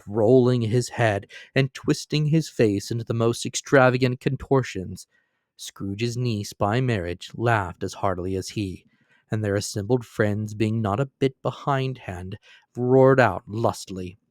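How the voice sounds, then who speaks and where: A man narrating a classic novel as a dramatic, expressive storytelling reading. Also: on the low side, measured pace, dry recording, clean studio sound.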